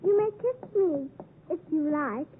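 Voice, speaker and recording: A proud, spiteful young girl from a 1950s radio play. She is high-pitched, clipped and disdainful. The recording is narrow and muffled with faint noise. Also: animated, unhurried. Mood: haughty, provoking. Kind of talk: 1950s radio drama acting.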